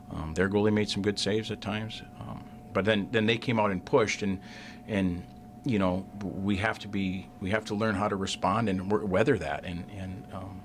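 Middle-aged male coach, low voice, halting with restarts, postgame presser on a desk mic with a faint rumble. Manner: halting, repeats words, many restarts. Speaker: head hockey coach. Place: press room in front of a sponsor backdrop. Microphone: desk mic on a stand.

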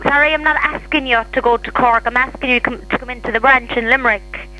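A woman's voice: on the high side, fairly even intonation, quick pace, sharp tone, poor audio. An Irish-accented woman handling a customer service call, patiently repeating and clarifying as a shop receptionist. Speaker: hearing aid shop receptionist.

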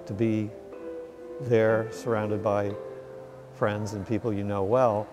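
A man in his sixties giving a measured documentary interview, often pausing mid-sentence. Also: lowish voice, flat delivery. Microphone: lapel mic. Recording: music under the voice.

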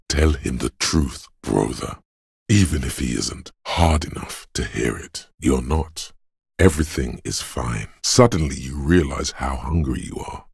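A man voicing the grim inner voice of a body's endurance in a video game, raspy and gravelly, narrating slowly and ominously in the second person. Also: extremely low pitch, no room sound, studio quality, heavily acted. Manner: slow, weighty, ominous.

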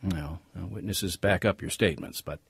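Stern navy captain in a radio drama, formal, measured, bit husky, dry sound. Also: male voice, low voice, dry recording, full character voice. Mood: stern, official. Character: stern but fair navy captain judging sailor.

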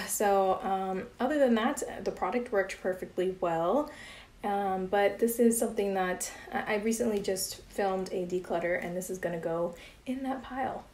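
A young woman chats through a beauty product review with plenty of ums and likes, at a middling pitch. Her voice sounds worn, as if she is losing it. The sound carries a noticeable room echo. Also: normal speed, a little mellow. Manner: chatty, with frequent ums and likes. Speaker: beauty vlogger. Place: indoor room with plain grey wall.

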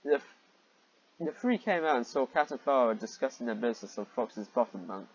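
Male reader, husky mid-pitched voice, sarcastic and exasperated, swears freely; dull home recording. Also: expressive, a little fast. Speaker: reader and commentator of fan fiction. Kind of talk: reading fan fiction aloud with mocking asides.

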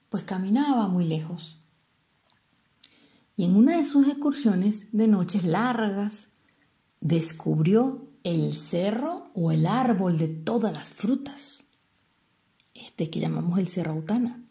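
Mellow Venezuelan woman telling a folk tale. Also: mid-range voice. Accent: Venezuelan Spanish. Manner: didactic, explains terms with rhetorical questions and pauses. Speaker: storyteller. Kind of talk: expressive narration of a traditional folk tale.

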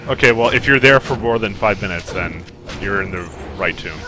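A man in his twenties chatting casually while playing a co-op game, relaxed and chuckling, often pausing on fillers. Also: on the low side, poor audio. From Canada. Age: 20s. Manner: laid-back, chuckles often, trails off with fillers. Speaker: let's play co-host. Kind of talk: casual gaming chat. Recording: game music behind voice chat. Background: game music and battle sounds.

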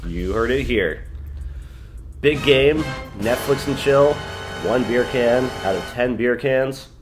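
A man's voice: medium pitch, takes it slowly, over music. A man in his twenties co-hosting a film review show, chatting casually in a small living room.

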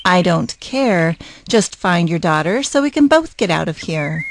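A woman's voice: fairly low pitch, sing-song, a little slow, noisy background. A woman playing an irritable, cutting divorced mother in an audio drama, curt and scolding. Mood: exasperated. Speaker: voice actor.

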